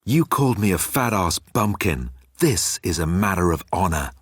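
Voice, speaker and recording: A man's voice: low voice, big pitch swings, moderate pace, husky, dry recording, studio quality, heavily acted. A man voicing a boastful, easily offended alien rogue with a pompous manner. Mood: indignant.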